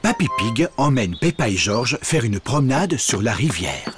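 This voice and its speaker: A man narrating a children's cartoon in a calm, friendly scripted style. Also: mid-range voice, moderate pace. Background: cartoon music.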